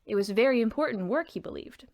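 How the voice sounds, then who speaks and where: A woman's voice: on the high side, lively intonation, fast talker, creaky, a little mellow. A woman reading a scripted historical story as a narrator.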